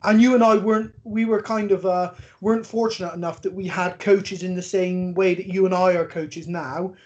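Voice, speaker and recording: A high-voiced Englishman in his thirties hosts a casual conversation. He is wordy and thinks aloud as he goes, on a somewhat dull, lo-fi recording. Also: steady tone, moderate pace, darkish tone. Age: 30s. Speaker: podcast host, MMA coach. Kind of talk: casual chat. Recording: dull, lo-fi sound.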